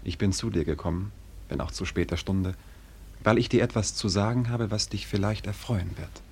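An actor in a German radio drama playing a proud, dignified young Malay prince who speaks formally and with measured care. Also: male voice, lowish voice, slightly rough. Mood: courteous, grave.

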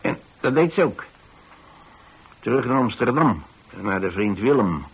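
A man's voice: mid-range voice, expressive, measured pace, breathy edge. An older man, a radio actor playing a kindly retired man who tells his story in a hesitant, rambling, conversational way. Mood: wistful. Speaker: radio actor, narrating lead.

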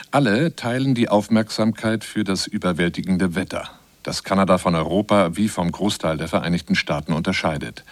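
Low-voiced man narrating a radio documentary. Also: no echo. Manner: measured, formal.

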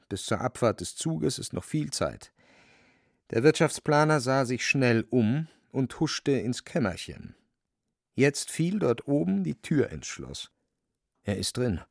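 Guy narrating a literary radio play, really lively intonation, creaky voice, wry storyteller. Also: medium pitch, dry recording, studio quality.

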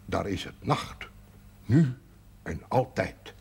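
An actor playing a gruff, stubborn, earnest rural man in a naturalistic television period drama. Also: lowish voice, expressive, slow, a little mellow, heavily acted. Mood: reading aloud. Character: gruff, stubborn rural man, former poacher turned faith healer. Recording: 1970s TV audio with faint noise.

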